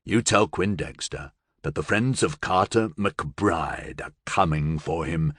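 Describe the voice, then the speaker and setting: Man voicing a menacing possessed man, deep, slow, purring, cold. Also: fairly bright tone, no echo, studio quality, full character voice.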